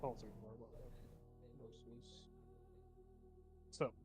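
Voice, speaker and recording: A man's voice: medium pitch, huge pitch swings, normal speed, over loud music. A young man running a tabletop game as game master, sniffling from a cold and explaining rules step by step with plenty of fillers.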